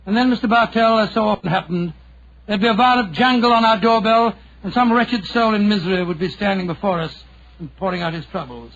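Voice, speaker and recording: High-voiced genial old English gentleman storyteller. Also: fairly quick. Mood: genial, reminiscing. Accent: British. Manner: avuncular, hesitant, old-fashioned phrasing. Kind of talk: 1940s radio drama storytelling. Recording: muffled vintage 1940s radio recording.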